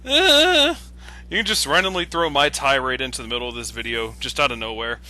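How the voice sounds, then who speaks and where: A man ranting casually on an online voice call, exasperated and excitable, stammering and swearing when he gets worked up. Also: medium pitch, a little fast. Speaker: guest commentator on an online video.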